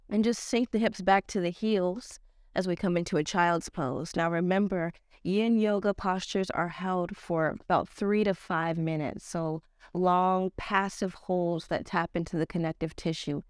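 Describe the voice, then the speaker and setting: A woman with a stuffed-up, slightly nasal voice, leading a slow guided yoga class in a casual, slangy way. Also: fairly low pitch, clean tone, no echo, clean studio sound. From Nigerian-American. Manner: casual slangy teacher, slow calm cues. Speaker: yoga teacher.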